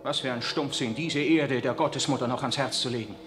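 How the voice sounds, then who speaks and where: An actor playing an impassioned young priest. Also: male voice, medium pitch, fairly even intonation, brisk, music in the background, lightly acted. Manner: impassioned, declamatory. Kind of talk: 1960s radio drama acting.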